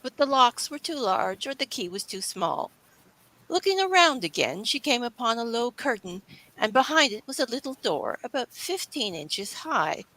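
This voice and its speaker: A woman's voice: fairly high pitch, sing-song, moderate pace, a bit bright, low-quality recording. A woman reading scripted children's story narration as the storybook's narrator.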